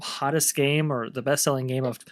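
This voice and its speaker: A man's voice: mid-range voice, fairly even intonation, fairly quick, no room sound, clean studio sound. A man giving casual, conversational commentary as a panelist.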